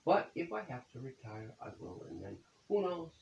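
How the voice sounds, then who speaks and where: A man's voice: medium pitch, very animated, moderate pace, gravelly. A man in his seventies answering interview questions about his business in a folksy way, often ending points with "okay?". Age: 70s. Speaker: accountant, business owner. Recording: roomy echo, distant mic, faint hiss.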